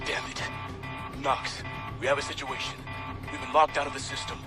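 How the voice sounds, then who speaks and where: A man's voice: medium pitch, level tone, moderate pace, lightly acted. Clipped, commanding military squad leader acted by a man. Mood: urgent. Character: brisk, focused military squad leader on a covert raid. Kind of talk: video game cutscene acting, radio-style military dialogue. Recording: loud music over the voice.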